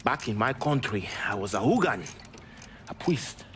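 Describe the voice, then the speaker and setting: A man playing a bitter, defiant Haitian smuggler and former priest in a video game, with a Haitian accent and a mocking, guarded, deliberate delivery. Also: medium pitch, sing-song, a bit bright, a little hiss or hum. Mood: cool, pointed. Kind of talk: video game cutscene dialogue.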